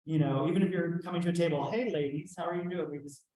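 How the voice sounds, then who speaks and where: A man's voice: a little high, steady tone, fast, warm tone, reverberant, rough recording. A man from Texas with a light Texan drawl, teaching a workshop in a conversational way, with some fillers and restarts. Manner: conversational, with fillers and self-corrections. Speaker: trainer. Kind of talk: workshop lecture.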